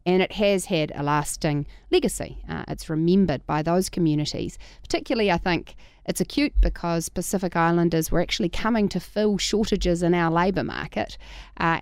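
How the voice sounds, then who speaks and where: A woman with a New Zealand accent, a politician speaking as a guest on a radio panel, composed and deliberate. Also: fairly low pitch, clear, resonant tone, dry recording, studio quality.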